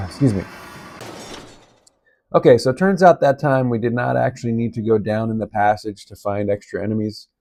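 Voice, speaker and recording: A man with a raspy voice from being sick, giving low-key commentary on his gameplay. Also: moderate pace. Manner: relaxed, low-key play-by-play with occasional coughs. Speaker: gaming video host. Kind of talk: casual game commentary.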